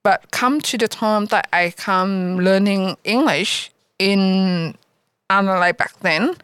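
A woman from Vietnam with a Vietnamese accent, speaking haltingly as a guest in an interview in a library. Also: medium pitch, fairly bright tone, clear, resonant tone, no echo, clean studio sound. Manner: halting, searching for words. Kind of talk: interview answers. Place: local library.

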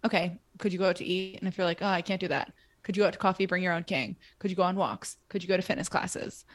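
Twenties woman, podcast co-host, slightly low voice, loose chatty delivery with plenty of fillers. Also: fairly even intonation, fast talker, dry recording. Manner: chatty, filler-heavy, frequent "like". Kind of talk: casual chat.

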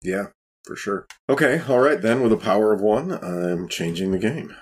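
Low, husky man in casual game chat. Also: expressive, normal speed. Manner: tentative, thinking aloud. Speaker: tabletop game player.

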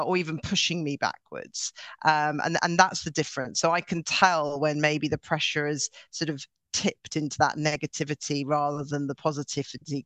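A middle-aged woman from Norway, a chief executive, talking in an upbeat, energetic way with frequent restarts as an interview guest. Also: low-pitched, no room sound. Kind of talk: casual interview talk.

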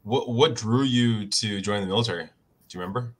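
A man's voice: fairly low pitch, brisk. A man interviewing a guest, asking casual, probing questions.

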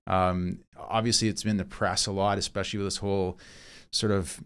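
Fast-talking low-voiced man hosting. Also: level tone, fairly bright tone, clean tone. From Vancouver Island, Canada. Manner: chatty, rambling, many fillers and restarts. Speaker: podcast host. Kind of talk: casual interview chat.

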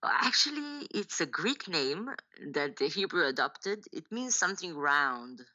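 Low-voiced woman in her forties from Israel, thoughtful, restart-prone storyteller on a muffled line. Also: sing-song, bright, forceful tone. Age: 40s. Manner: thoughtful, halting, many restarts and fillers, laughs often. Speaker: novelist guest. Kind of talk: podcast interview, personal storytelling.

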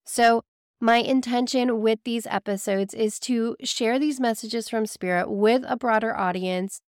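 A sick woman with a raspy, weak voice talks to her listeners in an earnest, soothing way. Her voice sits a little high, and the sound is close and dry. She is a psychic medium hosting a spiritual podcast. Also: fairly even intonation, unhurried, no room sound. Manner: earnest, gently reassuring, addresses listeners fondly. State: sick, hoarse. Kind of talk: casual spiritual podcast monologue.

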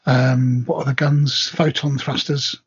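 A man co-hosting a podcast, talking in a relaxed, hesitant way with many ums and a very raspy voice. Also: mid-range voice, unhurried. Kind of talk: casual hobby chat. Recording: dull lo-fi audio.

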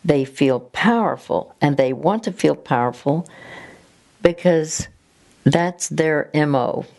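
Slow-spoken low-voiced woman host. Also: lively intonation, vocal fry, a little mellow. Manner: slow, halting, searching for words. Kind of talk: faith-based conversation.

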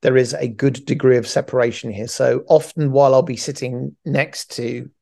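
A middle-aged man talks through his points in a loose, joking ramble, often starting a phrase over. His voice has a gravelly edge and sits at an everyday pitch for a man. The feel is a relaxed podcast conversation between regular co-hosts. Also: moderate pace. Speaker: podcast co-host. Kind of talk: casual podcast chat.

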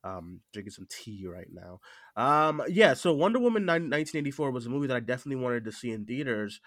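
A man with a stuffy cold hosts a casual podcast, talking in a halting way that often doubles back on phrases. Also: mid-range voice, very animated, a bit bright, no room sound, studio quality. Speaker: podcast host.

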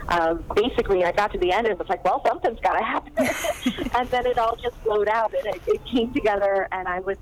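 A woman's voice: mid-range voice, brisk, low-quality recording. Chatty woman author with faint background hiss. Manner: chatty, animated, many fillers and laughs. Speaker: guest author. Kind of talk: casual interview chat.

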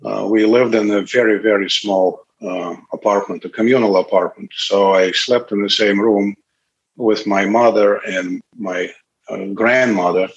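A man in his late fifties from Russia, speaking, gives a candid, halting personal testimony at a recovery meeting. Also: on the low side, steady tone, highs cut off, slight background noise. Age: 50s. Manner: candid, halting, pauses often.

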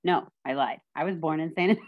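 Low-voiced joking woman from Texas. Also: a little fast, lo-fi sound. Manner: joking, self-deprecating storyteller. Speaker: podcast guest. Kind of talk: casual chat.

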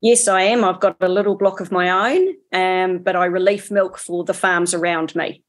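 A woman's voice: fairly low pitch, fairly even intonation, moderate pace. A New woman, a farmer, answering interview questions in a plain, matter-of-fact way. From New Zealand. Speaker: guest, farmer.

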